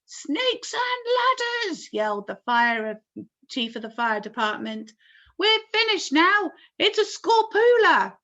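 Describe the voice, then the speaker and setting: A man's voice: sits high, completely flat delivery, moderate pace, bright, forceful tone. A woman voicing a frightened fire chief in a read-aloud story, screaming his lines. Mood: terrified. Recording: dull lo-fi recording.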